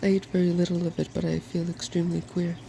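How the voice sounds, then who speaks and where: A man voicing a melancholy, uneasy hippogriff in a hushed, soft-spoken ASMR storybook reading. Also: sits high, level tone, fast talker, gravelly, a little hiss or hum. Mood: uneasy, gloomy. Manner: gloomy, hushed.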